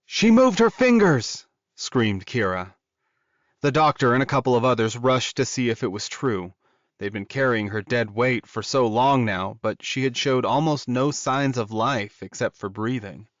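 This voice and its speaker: A man's voice: medium pitch, moderate pace, a bit bright, no room sound, highs cut off. A man reading a dramatic story aloud as a scripted audiobook narrator.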